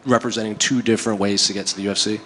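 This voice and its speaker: Fast-talking male reporter in a hall. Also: lowish voice, fairly even intonation, fairly bright tone, slight background noise. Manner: rambling, filler-heavy questions. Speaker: MMA reporter. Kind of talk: press interview questions.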